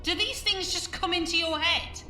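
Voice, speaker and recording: A woman playing a jumpy, shrill ghost-show presenter in an audio drama. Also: normal speed, pushed and bright, roomy sound, distant mic, faint music behind, heavily acted. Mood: alarmed. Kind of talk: full-cast audio drama acting.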